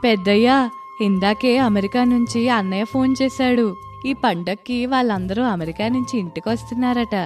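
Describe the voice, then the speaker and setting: Woman as cheerful village neighbour, high pitch, inquisitive, storybook acting. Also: normal speed, darkish tone, faint music behind, lightly acted. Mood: excited, friendly.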